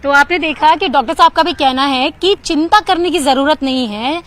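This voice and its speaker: A woman's voice: high-pitched, moderate pace, fairly bright tone. A woman speaking as a TV news field reporter.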